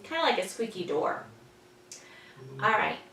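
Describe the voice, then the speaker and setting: A woman teaching young children, patiently explaining in simple words and pausing after questions, as in a story lesson. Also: medium pitch, huge pitch swings, pushed and bright. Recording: echoey room, distant mic, faint noise.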